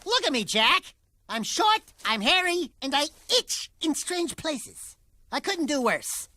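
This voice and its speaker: A man's voice: very high-pitched, very animated, takes it slowly, pushed and bright, no room sound, studio quality, exaggerated voice. A man voicing a small, wisecracking furry animal sidekick in a cartoonish video game, brash, whiny and nasal.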